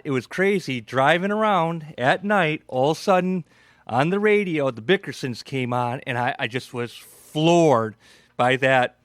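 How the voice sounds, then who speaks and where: A man hosting live radio in unscripted chat, effusive and colloquial, with halting phrasing. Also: a little high, a bit bright, no echo, clean studio sound. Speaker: host and playwright.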